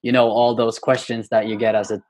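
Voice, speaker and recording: A man's voice: medium pitch, flat delivery, fairly quick, darkish tone. Hesitant young Norwegian man. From Norway. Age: 20s. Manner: hesitant, many fillers and restarts. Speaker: guest poet. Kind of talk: casual interview.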